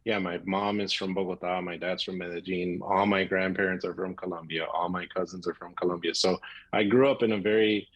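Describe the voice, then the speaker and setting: Very low-voiced American man. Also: fairly even intonation, a little fast, dull audio. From Miami, United States. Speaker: film director being interviewed. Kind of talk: casual interview conversation.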